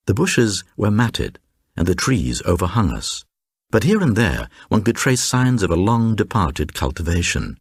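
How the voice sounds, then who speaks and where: A very deep male voice, playing a grave traveller recounting an eerie radio tale. The delivery is deliberate and suspenseful, swinging widely in pitch, with a distinctly husky grain. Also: dry recording, studio quality, heavily acted. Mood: ominous. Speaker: narrator. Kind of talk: dramatised first-person reading of a supernatural horror tale for radio.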